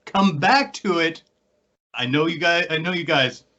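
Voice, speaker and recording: Higher-pitched man hosting, slightly dull audio. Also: animated, a little slow, pushed and bright. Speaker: podcast host. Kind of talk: casual podcast hosting and chat.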